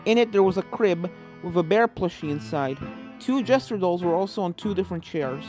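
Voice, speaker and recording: Low-pitched woman narrating over music. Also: animated, fairly quick, darkish tone. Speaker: fan fiction narrator. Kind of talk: scripted story narration. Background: video game music.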